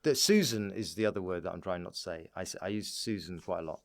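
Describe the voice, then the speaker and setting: A man's voice: deep voice, fast, creaky. A man speaking as a comedian guest on a podcast, chatting casually in a rambling, jokey way. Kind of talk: casual comic chat.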